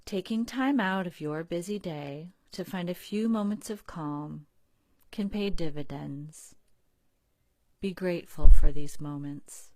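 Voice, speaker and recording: A woman leading a guided relaxation in a calm, soothing, unhurried manner with long pauses. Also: fairly low pitch, no room sound. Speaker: guided meditation leader.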